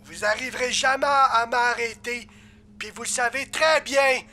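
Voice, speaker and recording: A man's voice: very high-pitched, animated, moderate pace, creaky, pushed and bright, heavily acted. A man voicing a touchy, boastful devil, bombastic and indignant, with a Quebec French accent, in a comic cartoon style. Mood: menacing, boastful. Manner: bombastic, indignant, easily flustered. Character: blustering male devil, touchy, boastful prince of darkness. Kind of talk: comic cartoon villain acting.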